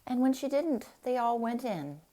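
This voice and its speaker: A woman reading a storybook aloud in an expressive style, with deliberate, dramatic phrasing. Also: on the high side. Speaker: storybook reader.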